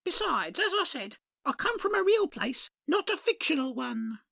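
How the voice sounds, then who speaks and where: A woman's voice: high voice, sing-song, moderate pace, gravelly, bright, forceful tone, no room sound, studio quality, voice pushed up, full character voice. A woman voicing a chirpy beaver shopkeeper. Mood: sing-song. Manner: sing-song, calls everyone dearie.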